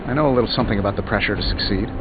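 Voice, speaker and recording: An actor voicing a witty, cocky armored superhero inventor in a video game, glib and sarcastic. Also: male voice, lowish voice, rapid-fire, background noise, lightly acted. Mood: reflective, wry. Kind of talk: video game dialogue acting. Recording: slightly dull game audio under music.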